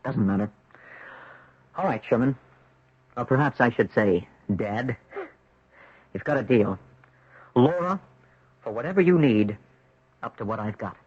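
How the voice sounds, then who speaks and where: An American actor playing a tormented married man confessing a haunted past in a brooding, halting 1970s radio drama style. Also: medium pitch, lively intonation, breathy edge, dry recording. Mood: guarded, cynical. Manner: brooding, halting, rhetorical questioning. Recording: muffled vintage radio broadcast.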